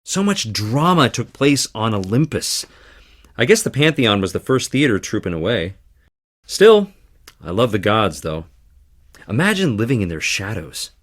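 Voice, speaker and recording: Charming stage actor, video game character, dramatic flair, highly animated pitch, theatrical delivery. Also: male voice, a little slow, exaggerated voice. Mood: playful, theatrical. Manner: theatrical, dramatic, swinging between playful and intense.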